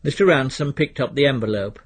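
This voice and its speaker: A man reading literary fiction as a narrator, in a measured, precise and dry storytelling manner. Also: mid-range voice, breathy edge. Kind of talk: scripted literary audiobook narration.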